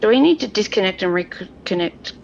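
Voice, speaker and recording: A woman's voice: medium pitch, animated, brisk, gravelly, a little mellow, dull audio, a little hiss or hum. A woman playing a tabletop game, improvising her character's actions in casual chat.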